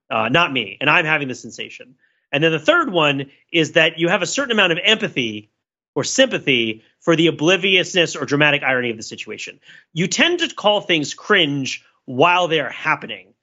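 A man, a podcast co-host talking casually and analytically, explaining his points in a wordy, halting way. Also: fairly high pitch, sharp tone, highs cut off.

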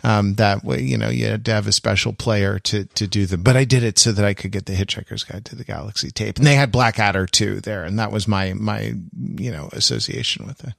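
A man in his forties co-hosting a podcast, speaking in halting stammered starts with dry jokes. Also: fairly low pitch, fairly even intonation, gravelly, a bit bright, dry recording. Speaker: podcast co-host. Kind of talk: casual discussion.

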